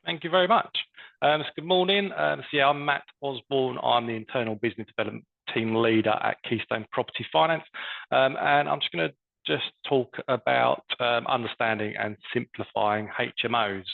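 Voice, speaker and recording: Gravelly man on phone-like call audio. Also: medium pitch, normal speed. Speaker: business development team leader presenting. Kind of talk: informal business presentation. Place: online webinar.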